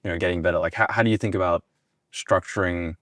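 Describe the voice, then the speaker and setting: A man's voice: low voice, steady tone, fast talker, fairly bright tone, dry recording, studio quality. A man hosting a podcast, interviewing in a casual, conversational style.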